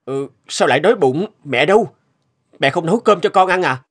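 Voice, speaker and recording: A man voicing a young father in a cartoon, rough-edged but caring and worried. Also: on the high side, lively intonation, fairly quick. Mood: flustered. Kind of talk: Vietnamese cartoon dubbing. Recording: loud music under the voice.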